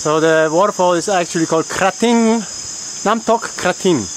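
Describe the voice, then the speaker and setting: Halting man vlogging amid outdoor noise. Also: sits high. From Denmark. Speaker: travel vlogger. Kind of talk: casual vlog commentary. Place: outdoors in a forest park. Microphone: camera at arm's length. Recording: outdoor noise of insects and water.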